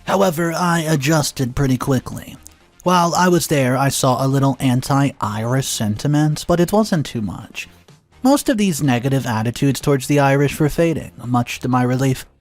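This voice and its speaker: A man narrates a first-person story in a calm, steady way, his voice sitting at an ordinary middle pitch. He reads at an unhurried pace and slips in a filler now and then. Soft music plays faintly underneath. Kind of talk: reading a first-person drama story aloud.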